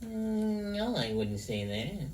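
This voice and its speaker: A mopey, slow-witted dog with a tight, pinched voice forced through the nose, humming before each line. The tone is dark, and the mic sits at a distance. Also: male voice, high voice, big pitch swings, pitched above the performer's own voice, heavily acted. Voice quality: pinched, nasal. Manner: sad-sounding, hums before speaking. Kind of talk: scripted instructional record.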